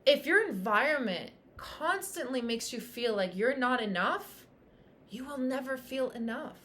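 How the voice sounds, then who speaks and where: Bright high-voiced motivational woman coach. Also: a little slow, slight background noise. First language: French. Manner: energetic, emphatic, repeats phrases for punch. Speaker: fitness coach. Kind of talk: motivational livestream talk. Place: Tulum, Mexico.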